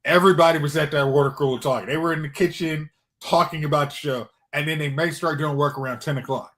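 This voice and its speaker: Slightly high-voiced man chatting. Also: fairly even intonation, quick pace, fairly bright tone. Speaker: podcast co-host. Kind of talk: casual pop culture chat.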